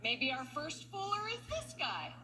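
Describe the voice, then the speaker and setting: A woman's voice: sits high, wildly swooping pitch, measured pace, sharp tone, soft background music. A woman reading a scripted TV show introduction as announcer.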